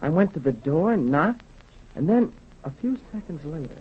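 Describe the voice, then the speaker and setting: An actor playing an eager, polite schoolboy son in a 1930s radio drama, with an earnest boyish manner. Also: male voice, on the high side, lively intonation, normal speed, a little hiss or hum, natural voice. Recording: dull, worn 1930s radio recording.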